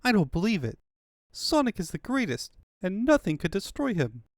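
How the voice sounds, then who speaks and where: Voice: mid-range voice, very animated, moderate pace, no room sound, clean studio sound. A performer voicing an earnest, anxious young fox sidekick in a cartoon comic dub. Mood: defiant. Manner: anxious, pleading.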